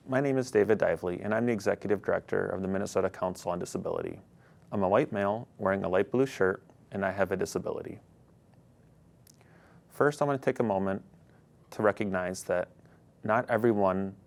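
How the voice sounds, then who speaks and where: A man giving a prepared public statement as an executive director, formal and measured. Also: low-pitched, creaky.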